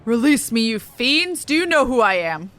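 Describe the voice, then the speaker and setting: A woman's voice: on the high side, sing-song, moderate pace, a bit bright. A woman voicing a haughty, indignant noble captive from a video game, pompous and formal. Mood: defiant. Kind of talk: video game dialogue read aloud.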